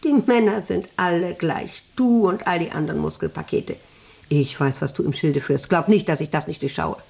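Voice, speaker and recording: An actress playing a middle-aged, self-important and lonely factory director in a radio drama, rambling intimately and capriciously with a creaky voice. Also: female voice, fairly low pitch, moderate pace, darkish tone. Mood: bitter, accusing. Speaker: actor in an audio drama. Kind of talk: 1990s German radio drama acting, monologue-like.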